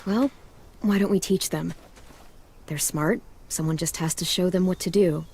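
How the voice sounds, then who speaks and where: A woman playing a protective teenage girl who leads survivors in a game drama, with a husky voice and a calm, guiding, dry manner. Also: fairly low pitch, normal speed. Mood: gentle, guiding. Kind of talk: video game dramatic acting.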